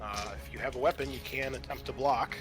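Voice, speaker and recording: A man narrating a tabletop horror game as game master, describing things graphically in a halting, casual way. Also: mid-range voice, over music.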